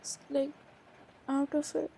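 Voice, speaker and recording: A woman performs a shy, easily flustered young woman in an intimate romantic audio drama. Her voice sits a little high and is soft-edged and mellow. She speaks very slowly, stumbling and repeating words, over audible ambient noise. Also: level tone, creaky. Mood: confused, overwhelmed. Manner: hesitant, stammering, breaking off and repeating words.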